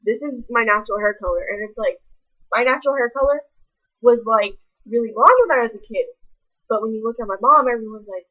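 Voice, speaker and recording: A woman's voice: a little high, fairly quick, lo-fi sound. A young woman, ranting casually to camera in a blunt, rambling way full of swearing. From American South. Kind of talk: casual vlog rant.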